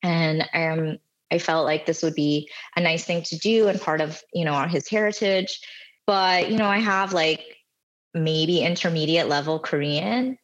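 A woman's voice: low voice, a little slow, lo-fi sound. A woman in her thirties, a podcast guest, telling stories in a chatty, self-deprecating way, full of "like" and "you know". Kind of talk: casual conversational storytelling.

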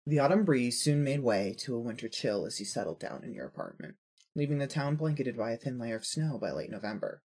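Husky male story narrator. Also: mid-range voice, animated, fairly quick, darkish tone. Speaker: narrator of fan fiction audio story. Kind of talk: scripted second-person story narration.

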